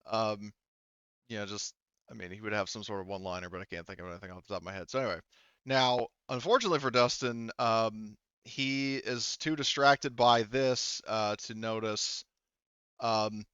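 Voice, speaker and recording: A man with a smooth, fairly low voice acts as game master for a casual tabletop session. He thinks aloud and pauses often while sorting out rules. The sound is close and dry but somewhat dull. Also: fairly bright tone, no room sound.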